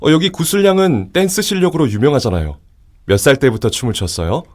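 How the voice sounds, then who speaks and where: Male actor as a chatty interviewer, lively up-and-down delivery, audio drama. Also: mid-range voice, fast. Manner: bright, chatty and flattering. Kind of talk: radio drama acting.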